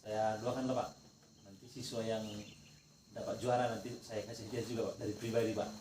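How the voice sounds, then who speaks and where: A man's voice: lowish voice, level tone, a little fast. A male teacher with a North Sumatran Indonesian accent coaches a colleague supportively, often repeating his encouraging affirmations. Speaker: teacher acting as peer coach. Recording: distant echoey recording, insect noise.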